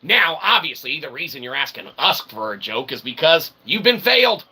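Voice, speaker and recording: A man's voice: fairly high pitch, big pitch swings, moderate pace, sharp tone, slightly rough. A man hosting an improvised livestream, rambling to viewers in wordy, mock-formal roundabout phrases tagged with okay and right, with theatrical playful asides. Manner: often tags sentences with "okay" and "right". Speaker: livestream host.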